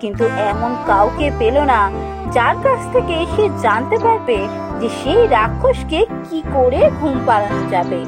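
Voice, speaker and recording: A woman's voice: sits high, big pitch swings, moderate pace. A woman narrating a scripted fairy tale for a cartoon. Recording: music behind the voice. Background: music.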